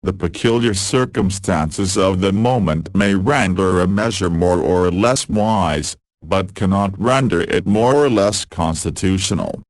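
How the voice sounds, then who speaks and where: A man reading quotations as scripted narration, in a measured and formal delivery. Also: deep voice, no echo.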